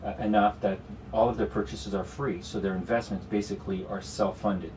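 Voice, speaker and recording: A man's voice: on the low side, steady tone, a little fast, a little mellow, distant mic, slight background noise, rough recording. A man with a Canadian accent, a guest strategist explaining investments in a radio studio conversation, with many "you know"s. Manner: explanatory, talks in long runs with frequent "you know".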